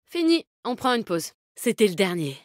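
An actress playing a tough, animal-loving female fighter in a video game, speaking in a brisk, confident, slangy way. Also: mid-range voice, sing-song, no echo, clean studio sound, natural voice. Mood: fierce, curt. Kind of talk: video game character voice lines.

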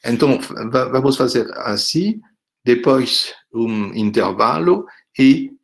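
Slow, halting older Frenchman on a video call. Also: mid-range voice, lively intonation, vocal fry. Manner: halting, many fillers and tag questions. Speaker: visiting professor. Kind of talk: closing remarks of an online lecture. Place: home room indoors. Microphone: laptop webcam on a video call.

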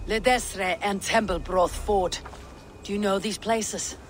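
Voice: medium pitch, steady tone, slow, slight background noise, natural voice. An actor playing a blunt, hard-bargaining Viking warrior in a video game, terse and menacing. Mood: businesslike. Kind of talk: video game cutscene acting.

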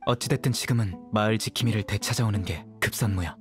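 An actor playing a stern, commanding guardian in a video game, speaking in a curt, grave manner. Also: male voice, fairly low pitch, fast talker, faint music behind, lightly acted. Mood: grave, resolute. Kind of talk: game character dialogue.

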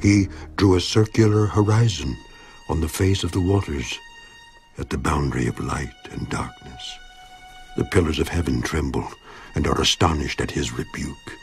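A man's voice: very deep voice, moderate pace, gravelly, soft background music. A man voicing Job, an aged, suffering but steadfast man, in a dramatized Bible reading with solemn, declamatory delivery. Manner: solemn, declamatory, rising and falling emphasis. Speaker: voice actor in a Bible audio drama.

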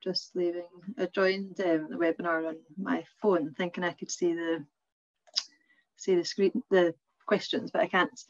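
A woman's voice: on the low side, flat delivery, unhurried, no room sound. A woman in her thirties with a Glasgow Scottish accent, presenting a clinical webinar on a video call in a chatty, informal way with many ums and restarts. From Scotland. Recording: dry, slightly dull webinar audio.

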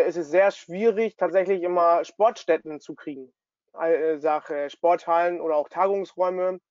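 A man's voice: fairly high pitch, a little mellow, no echo. A young man answering questions on a video call, a bit hesitant with fillers. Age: young adult. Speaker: youth sports board member. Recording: dry lo-fi video call audio.

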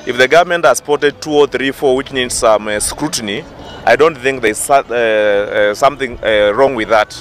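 A young man giving an outdoor press interview, speaking haltingly with many fillers into a handheld microphone. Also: mid-range voice, a bit bright, slight background noise. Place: outdoors in open field. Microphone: handheld press mic.

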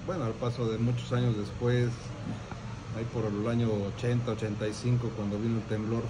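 A man's voice: medium pitch, flat delivery, fairly quick. A man in his sixties with a Mexican accent, recounting family business history as an interviewed restaurant owner. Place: restaurant dining room, indoors. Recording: clearly noisy restaurant room background.

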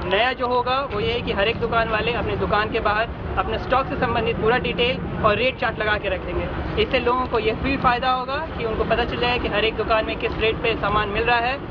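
High-voiced official guy grilling shopkeepers, firm, loud traffic noise behind. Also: steady tone, fairly quick, few pauses, lo-fi sound. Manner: stern, firm interrogating tone. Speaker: government official. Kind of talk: questioning shopkeepers, then a press statement. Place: outdoors on a busy market street.

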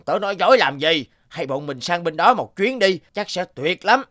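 A man voicing a determined, persuasive golden goat in an animated fable, assured and encouraging. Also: sits high, normal speed.

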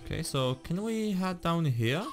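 A man's voice: fairly high pitch, animated, a little slow. A man hosting a gaming video, chatting casually to viewers and his co-op partner as he plays. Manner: chatty, addresses viewers while playing. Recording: music playing behind the voice.

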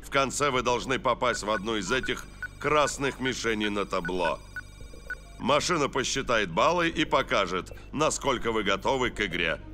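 An actor playing a commanding male football coach in an animated cartoon, speaking with measured authority. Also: medium pitch, soft background music. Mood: stern, commanding.

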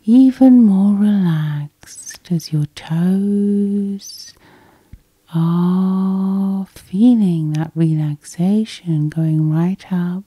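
Female hypnosis guide. Hushed, breathy and unhurried, soothing delivery, very dry intimate sound. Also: lowish voice, dry recording. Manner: soft, soothing, slow breathy half-whisper. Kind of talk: whispered sleep hypnosis, ASMR guided meditation.

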